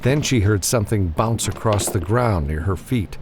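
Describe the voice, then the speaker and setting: A man narrates fiction in a dramatic audiobook style. His voice is low and moves expressively up and down, with a faint vehicle-like ambience behind it. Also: lively intonation, normal speed, noisy background.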